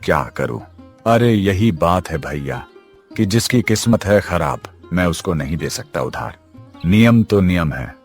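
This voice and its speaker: A man's voice: sits low, expressive, unhurried, a little mellow. A man playing a boastful, spiteful younger brother and village chief in a cartoon, haughty and taunting. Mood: smug, scornful. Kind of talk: Hindi cartoon story acting. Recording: music behind the voice. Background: music.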